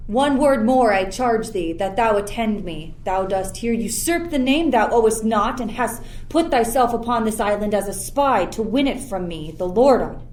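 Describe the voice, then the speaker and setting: A woman's voice: mid-range voice, expressive, normal speed, few pauses, darkish tone, natural voice. A woman playing a commanding, scornful sorceress mother in a Shakespearean radio play, speaking imperiously and theatrically. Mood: stern, accusing. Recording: faint background noise.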